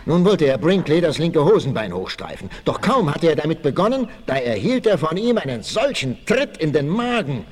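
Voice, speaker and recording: A man's voice: on the high side, big pitch swings, normal speed, hardly any pauses, darkish tone. A man giving scripted dramatic narration in the manner of a 1960s radio play.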